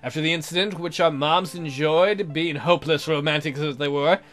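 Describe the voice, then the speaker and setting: A man's voice: fairly high pitch, steady tone, moderate pace, a bit bright. A man reading a visual novel aloud as a let's player, in a theatrical, jokey way with asides. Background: music.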